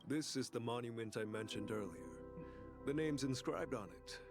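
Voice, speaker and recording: An actor voicing a solemn, reverent guide recounting history in a game, formal and grave. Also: male voice, medium pitch, normal speed, close to an everyday voice. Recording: game audio with music behind.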